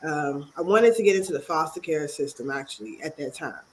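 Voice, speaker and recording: A woman's voice: sits low, lively intonation, slight background noise, poor audio. Congested woman guest from Maryland. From Maryland, USA. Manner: earnest, halting, many pauses. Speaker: author and youth advocate guest. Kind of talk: interview talk.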